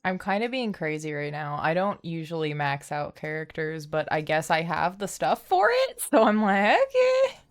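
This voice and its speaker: A woman's voice: low voice, very animated, moderate pace. A woman livestreaming a game, thinking aloud in a casual, playful way. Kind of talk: casual gameplay commentary.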